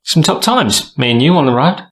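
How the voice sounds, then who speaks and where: A man's voice: fairly high pitch, big pitch swings, normal speed, fairly bright tone. A man acting in an audio drama as a down-on-his-luck drifter, evasive and placating, in clipped slang. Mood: hopeful.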